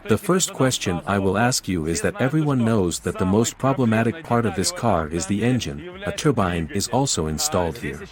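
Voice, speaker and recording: Low-voiced man hosting a car review. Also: expressive, moderate pace, few pauses, a crowd in the background. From Ukraine. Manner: guiding, asks questions, addresses viewers as guys. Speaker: car show host.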